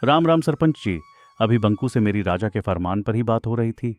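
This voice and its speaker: A clever village farmer played by a man in an animated moral tale. He speaks respectfully but boldly in a fairly low voice. Faint music runs behind him. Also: moderate pace, close to an everyday voice. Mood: confident. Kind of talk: animated moral story dialogue.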